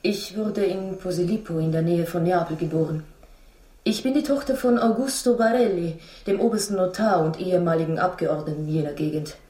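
An actress in a radio drama playing a young Italian wife who tells her past in a halting, dramatic, emotional way. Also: fairly low pitch, mellow, husky, rough recording. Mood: wistful, earnest.

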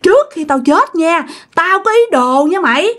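Woman playing jealous dead woman's spirit, Southern Vietnamese accent, high pitch, animated swings, bitter, accusing, repetitive, ghost-story telling. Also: normal speed, slightly rough, heavily acted. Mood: vengeful, cursing. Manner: accusing, repeats phrases insistently, bursts of menacing laughter. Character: vengeful, jealous female ghost possessing a woman, bitter and cursing.

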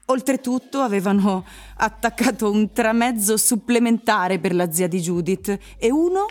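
A woman's voice: medium pitch, animated, normal speed, a little mellow. A woman reading scripted story narration for a radio drama. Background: music.

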